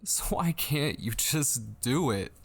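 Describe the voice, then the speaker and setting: A man playing an obsessive, possessive kidnapper and coaxing lover in an intimate, whispery ASMR roleplay, husky and close to the mic. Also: mid-range voice, lively intonation, full character voice. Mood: obsessive pleading. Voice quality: husky, breathy, often dropping into whispers. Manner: slow, coaxing, possessive endearments. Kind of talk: intimate ASMR roleplay, scripted dramatic monologue. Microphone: close studio mic, dry.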